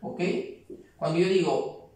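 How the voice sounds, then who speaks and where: Teacher in a very echoey room. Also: male voice, on the high side, slow pace, darkish tone. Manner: explains step by step, keeps checking with "¿ya?". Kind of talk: classroom grammar lesson. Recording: very echoey room, mic far off.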